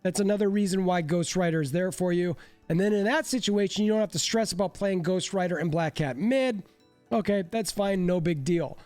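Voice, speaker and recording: A man's voice: high-pitched, fairly quick, a little mellow, no room sound, faint music behind. A man with a stuffy, congested voice chatting casually and a bit self-deprecatingly as he breaks down card game decks. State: congested from a cold. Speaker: card game content creator. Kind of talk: casual deck breakdown commentary.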